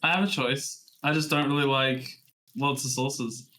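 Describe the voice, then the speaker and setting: A man's voice: mid-range voice, steady tone, normal speed, pushed and bright, rough recording. A man in his twenties, a podcast co-host, talking in rambling casual chat that trails off into restarts. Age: 20s.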